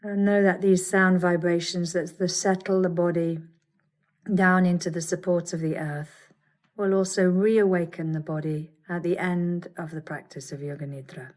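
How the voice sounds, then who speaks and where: A woman teaching yoga nidra, guiding a meditation with soothing, measured instructions and gentle pauses. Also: lowish voice. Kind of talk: guided meditation.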